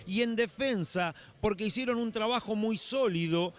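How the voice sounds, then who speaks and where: High-voiced man interviewing courtside. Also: moderate pace. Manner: formal, long-winded questions. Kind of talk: post-game sports interview. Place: indoor basketball arena. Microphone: handheld mic.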